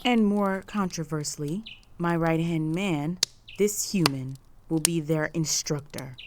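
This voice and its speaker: A woman playing a stern, proud, possessive female orc chieftain in a roleplay audio drama, speaking in a formal, measured, authoritative way. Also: sits low, noisy background. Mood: commanding, stern.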